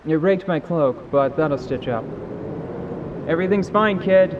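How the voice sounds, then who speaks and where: A man voicing a gruff but protective male fighter in an audio drama. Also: on the high side, a little slow, far from the mic, dull audio, background noise, voice pushed up, lightly acted. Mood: reassuring.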